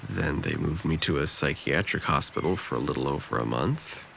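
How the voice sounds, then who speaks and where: A man's voice: rumbling low, animated, normal speed, creaky, a bit bright, a little hiss or hum, full character voice. A man acting a depressed, guarded young man in an audio drama, speaking with dry, self-deprecating hesitance. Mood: downcast, confessing.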